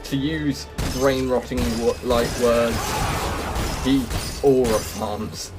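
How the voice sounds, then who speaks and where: A man's voice: medium pitch, fairly even intonation, slow, over loud music. A man hosting a video game walkthrough, giving casual running commentary on the play. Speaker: gaming video host.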